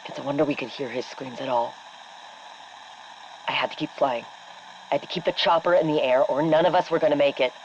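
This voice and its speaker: A woman playing a shaken, sardonic army helicopter captain, giving a halting, bitter debrief for a game audio log. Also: low voice, fairly even intonation, lo-fi sound, a little hiss or hum. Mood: distressed. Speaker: military helicopter exfil pilot.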